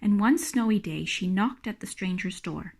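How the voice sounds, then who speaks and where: A woman's voice: medium pitch, expressive, normal speed, gravelly, darkish tone. Woman reading a children's storybook aloud. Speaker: storybook reader. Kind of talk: expressive read-aloud narration of a children's picture book.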